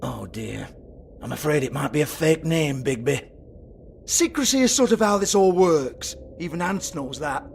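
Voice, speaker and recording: A man's voice: on the high side, animated, moderate pace, creaky, slight background noise. A man playing a foul-mouthed, irritable British strip club owner in a video game, sarcastic and exasperated as he swears. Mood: defensive, sarcastic.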